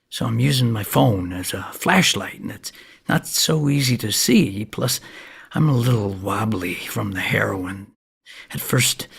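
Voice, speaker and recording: Gravelly, rambling, folksy neighbourhood oddball. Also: male voice, mid-range voice, full character voice. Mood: hushed, spooked. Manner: folksy, hesitant, meandering storytelling. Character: rambling, shabby older neighbour man, a folksy drug user. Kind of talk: comic stage monologue.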